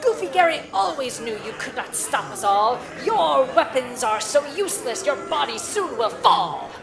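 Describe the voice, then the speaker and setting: A woman's voice: high-pitched, very animated, normal speed, gravelly, loud music behind the voice, a loud crowd, heavily acted. A woman playing an ominous herald of doom in a fan drama, chanting theatrical rhymed threats. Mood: taunting. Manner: theatrical, incantatory.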